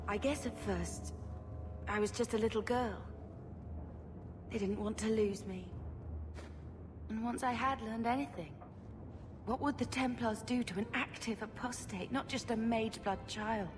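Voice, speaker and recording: An actress playing an earnest, wistful young mage in a video game, speaking sincerely and reflectively. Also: female voice, fairly high pitch, a little slow, pauses often, background noise, lightly acted. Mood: sad, earnest.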